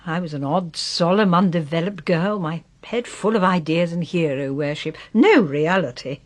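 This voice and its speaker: An actress playing a proud, wistful English widow in her sixties who is ailing, in a solemn, measured radio drama style. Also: on the low side, close to an everyday voice. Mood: wistful, weary. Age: 60s.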